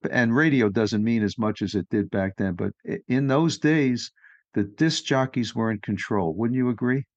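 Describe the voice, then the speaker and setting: A man's voice: mid-range voice, moderate pace, no room sound, highs cut off. A man from New York hosting a podcast interview, chatty and opinionated, asking leading questions. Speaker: podcast host.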